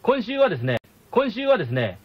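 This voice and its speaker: A man hosting a radio show with brisk, jokey patter, his voice hoarse from a cold. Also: high voice, wildly swooping pitch, a little hiss or hum. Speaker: radio host. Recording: dull old radio air-check.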